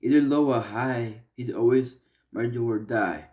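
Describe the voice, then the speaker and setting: Young man from Malaysia, vlogger, earnest and halting, unhurried delivery. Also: medium pitch, darkish tone. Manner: earnest, halting, many fillers and restarts. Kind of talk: personal vlog talk.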